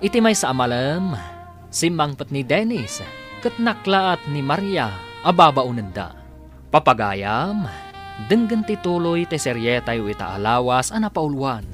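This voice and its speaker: A man with a Filipino accent announces and narrates a radio drama in a scripted, dramatic style. His voice is fairly high and animated. Music plays clearly underneath. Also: a little slow.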